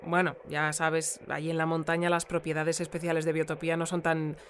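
A woman's voice: deep voice, completely flat delivery, a little fast, natural voice. Woman playing a Spanish radio newscaster over noisy ambience. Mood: polite, inquisitive. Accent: Spain. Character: polite, chatty local radio newscaster. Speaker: radio news presenter. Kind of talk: radio drama news bulletin, live interviewing.

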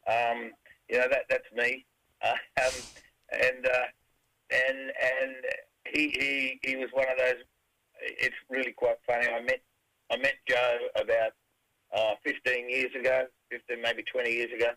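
Middle-aged Australian man, raspy and bright-toned, mid pitch, rambling casual interviewee. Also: little pitch movement, unhurried, poor audio. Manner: rambling, many fillers and restarts. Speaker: rock band singer.

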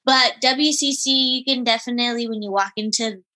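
A woman in her twenties, an elite gymnast and interview guest, talking in a relaxed, giggly way with many fillers. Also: a little high, brisk. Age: 20s. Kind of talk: casual interview chat.

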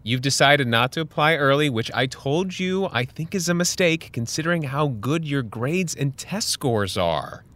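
A man playing a patient but firm high school counselor, measured and earnest, in a fiction podcast. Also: lively intonation, fairly bright tone, lightly acted. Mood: firm, concerned. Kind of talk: scripted audio drama dialogue.

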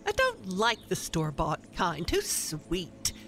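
A woman playing an opinionated, folksy older wife who briskly meddles, in a radio play. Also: fairly low pitch, huge pitch swings, a bit bright, a little hiss or hum, full character voice. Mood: folksy, chatty.

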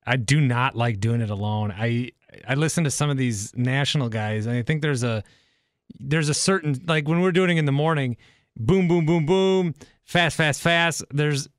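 A man's voice: medium pitch, fairly bright tone, no room sound, studio quality. A man in his thirties hosting a casual podcast interview, talking in a rambling, self-correcting way. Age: 30s. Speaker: podcast host and radio host.

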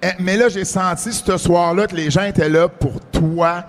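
Energetic Québécois podcast host. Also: male voice, high voice, normal speed. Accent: Québécois French. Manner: energetic, shouting announcer-style introductions. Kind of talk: live podcast hosting. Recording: long reverb of a live venue.

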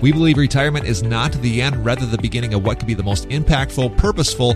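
A man's voice: mid-range voice, quick pace. A man, a podcast host, delivering a scripted promo for his show. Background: music.

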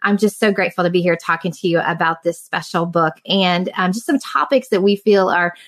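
A woman in her forties from southern Indiana, a guest author, chatting casually in a bubbly, laughing way. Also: a little fast. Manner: bubbly, laughing, pitch jumping up when excited. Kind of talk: casual conversation.

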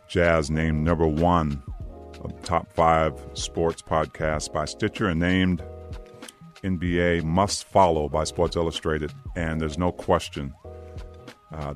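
A man hosting an interview podcast in a casual, chummy, conversational way. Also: extremely low pitch. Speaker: podcast host and sports broadcaster.

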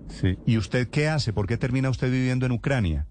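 A man's voice: mid-range voice, lively intonation, darkish tone, a little hiss or hum. Direct male news host. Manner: direct, brisk questions. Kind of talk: news interview questioning.

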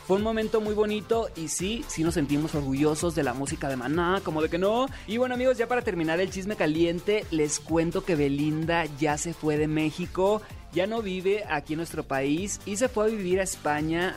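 A Mexican man hosting a radio entertainment show, with a fairly high voice for a man. His talk is chatty and playful, and he rolls back to his catchphrases. Soft music plays under him. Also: normal speed, hardly any pauses. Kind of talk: upbeat gossip radio hosting.

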